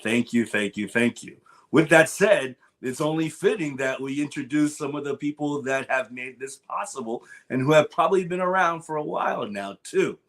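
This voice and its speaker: A man's voice: a little high, animated, normal speed, a bit bright. A man hosting a sponsor interview for a conference, upbeat and emphatic, often repeating his phrases. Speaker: interview host. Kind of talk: enthusiastic conference promo interview.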